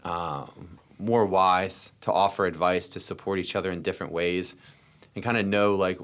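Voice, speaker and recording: Man, American accent, very low pitch, smooth resonant tone, earnest podcast guest, emphatic repetition. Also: fairly even intonation, moderate pace, a bit bright. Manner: earnest, repeats phrases for emphasis, frequent "you know". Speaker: interview guest, startup founder. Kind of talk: personal storytelling in a podcast interview.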